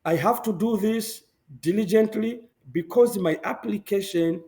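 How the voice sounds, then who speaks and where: A young man from Zambia, speaking as an interview guest, animated and emphatic, laughing often and repeating himself for emphasis. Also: sits high, a little slow. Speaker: guest, public health professional. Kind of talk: conversational interview answers.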